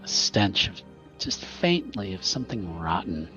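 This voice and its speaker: A man's voice: deep voice, sing-song, measured pace, a bit bright, exaggerated voice. A man voicing a stern, suspicious woman werewolf elder who speaks curtly. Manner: curt, probing. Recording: slightly muffled, faint music.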